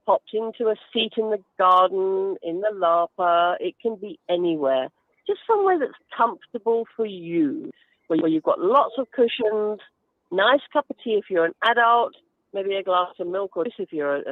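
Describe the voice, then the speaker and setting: A woman's voice: fairly low pitch, dry recording. A woman chatting enthusiastically and descriptively as a guest giving advice in a radio interview. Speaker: guest author. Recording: narrow phone-like line.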